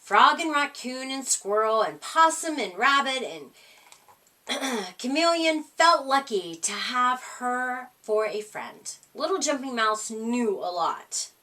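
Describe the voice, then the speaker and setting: Lady reading a kids story out loud, slow and expressive, mic kinda far away. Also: fairly high pitch. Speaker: storytime reader. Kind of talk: reading a children's story aloud.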